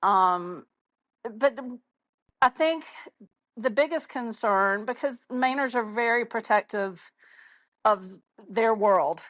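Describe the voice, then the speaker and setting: A woman's voice: a little high, slow pace, long pauses, a bit bright, dry recording. Chatty Southern woman, dry close sound. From Mississippi, US South. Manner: chatty, friendly, laughs often. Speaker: podcast guest. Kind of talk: casual interview chat.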